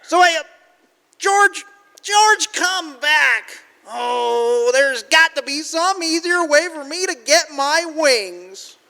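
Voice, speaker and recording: A very high-voiced, earnest guardian angel in old-time radio drama style. He is gentle, apologetic and a little bumbling, with lively pitch swings. The performance rings out in a reverberant church hall. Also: slow, creaky, heavily acted. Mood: anxious. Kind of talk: 1940s radio drama acting. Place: large church, performed live. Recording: live stage recording in a reverberant hall.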